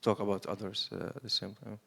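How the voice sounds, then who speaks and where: A man's voice: on the low side, flat delivery, fast talker, vocal fry, background noise. A man from Syria, a filmmaker on a panel, answering unscripted and searching for his words.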